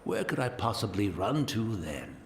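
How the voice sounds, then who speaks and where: A man's voice: fairly low pitch, big pitch swings, moderate pace, echoey room. An actor playing an elderly blind male tea master who speaks with courteous, formal wistfulness and tends to ramble. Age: older. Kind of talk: game voice acting.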